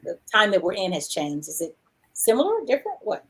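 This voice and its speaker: A woman's voice: mid-range voice, wildly swooping pitch, brisk, slightly rough. A woman hosting a casual livestream interview over a video call, chatty and rambling as she reads out viewer comments. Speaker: show host.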